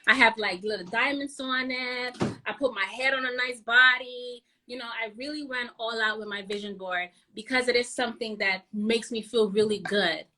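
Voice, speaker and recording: Female beauty guest, 30s, bright forward tone, raised pitch, candid casual conversation. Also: steady tone, moderate pace. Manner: candid, earnest. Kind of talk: casual chat.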